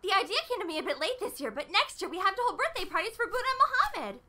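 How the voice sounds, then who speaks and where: A woman's voice: very high voice, big pitch swings, rapid-fire, pushed and bright, full character voice. A woman voicing a bossy, exuberant teenage schoolgirl club leader in an anime fan dub, brash, emphatic and sure of herself. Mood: breezy, opinionated. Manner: emphatic, declarative, self-assured. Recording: amateur fan dub with background noise.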